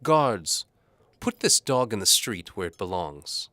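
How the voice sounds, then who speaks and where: A man's voice: fairly low pitch, sing-song, slow, sharp tone, dry recording, voice pushed up, full character voice. A man voicing a cold, commanding, scornful noblewoman in an audio drama. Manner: imperious, disdainful. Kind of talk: audio drama character acting.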